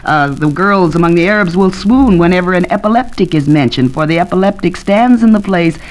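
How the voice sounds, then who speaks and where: American female academic, lowish pitch, lecturing manner, 1940s radio round-table. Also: brisk, rough recording. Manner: explanatory, lecturing with examples. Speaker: expert panelist, academic doctor. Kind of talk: 1940s radio panel discussion.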